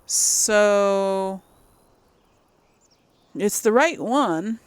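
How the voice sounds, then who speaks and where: Woman gamer with lively, swooping pitch. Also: extremely slow, clean tone, no room sound. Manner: thinks aloud, muttering to herself. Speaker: gaming channel host. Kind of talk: casual game playthrough commentary.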